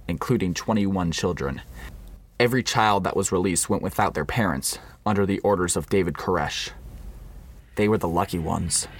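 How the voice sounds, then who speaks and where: An actor playing a somber, haunted survivor, narrating a scripted radio drama. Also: male voice, sits low, breathy edge. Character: somber, haunted male survivor recounting the siege.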